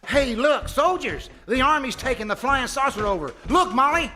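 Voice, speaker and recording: A man's voice: extremely high pitch, expressive, normal speed, bright, forceful tone, soft background music, full character voice. A man playing a boastful, folksy small-town husband who blusters and interrupts in a live radio comedy. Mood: excited, boastful. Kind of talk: live old-time radio comedy acting. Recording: noisy live stage performance.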